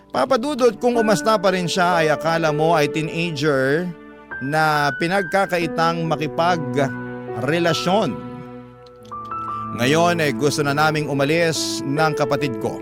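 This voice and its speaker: A male radio host reading out a listener's letter as dramatic scripted narration. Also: a little high.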